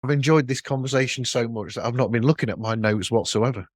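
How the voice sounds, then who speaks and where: A man's voice: mid-range voice, quick pace, no room sound, clean studio sound. A man hosting a podcast interview in a casual, meandering way, rephrasing his thoughts and questions as he goes.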